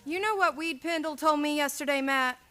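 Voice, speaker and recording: A woman playing a warm, spirited frontier woman in a Western radio play, familiar and conversational. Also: sits high, brisk, background noise, close to an everyday voice. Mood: chatty.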